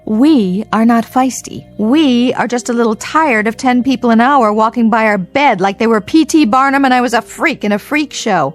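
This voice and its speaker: Actress doing a feisty anxious american showgirl stuck in hospital, highish voice, wisecracking, radio drama, music underneath. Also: normal speed, hardly any pauses, natural voice. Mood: sarcastic, defiant. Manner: wisecracking, defiant, slangy. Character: feisty, anxious female dancer confined to hospital. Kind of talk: old-time radio drama acting.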